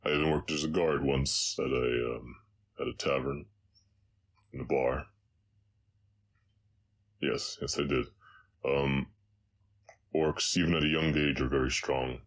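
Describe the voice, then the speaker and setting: A man as a very deep, slow Southern orc cowboy. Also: steady tone, heavily acted. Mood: reflective, hesitant. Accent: slight Southern American. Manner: halting, hesitant, reflective. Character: gruff, gentle orc cowboy, haunted by his past. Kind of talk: slow intimate audio roleplay monologue.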